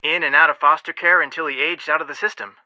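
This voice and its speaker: A man's voice: a little high, steady tone, quick pace, sharp tone, dry recording, poor audio. An actor voicing a level-headed, protective young male amateur detective in a video game, speaking in an earnest, matter-of-fact way with dry wit. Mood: concerned. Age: young adult.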